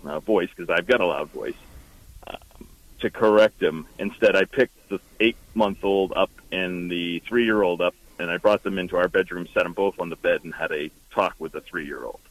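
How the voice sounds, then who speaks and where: Halting male caller, lowish voice. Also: dry recording, poor audio. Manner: halting, many fillers. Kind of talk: phone-in call. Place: car parked by roadside.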